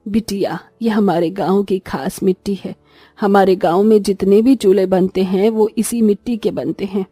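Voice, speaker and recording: A woman's voice: medium pitch, steady tone, normal speed, mellow, slightly rough, no echo, faint music behind, close to an everyday voice. A woman voicing a kindly, motherly old village woman who speaks reassuringly in a cartoon story. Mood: gentle, reassuring.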